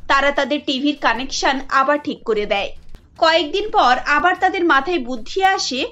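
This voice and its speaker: A woman narrating a cartoon story in a steady storytelling manner. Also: sits high, fairly quick, lo-fi sound.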